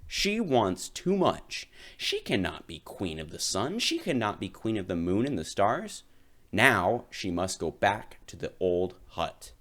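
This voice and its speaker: A man's voice: fairly low pitch, sing-song, unhurried, a bit bright. A man voicing a commanding magic fish in a storybook, with an emphatic, authoritative delivery. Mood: stern refusal. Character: magic talking fish, commanding granter of wishes.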